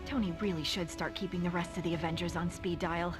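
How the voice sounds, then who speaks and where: A woman's voice: on the low side, steady tone, quick pace, lightly acted. A woman playing a superhero's sardonic girlfriend, narrating the adventure in a scripted style with wry, playful asides. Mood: wry, breezy. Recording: music behind the voice.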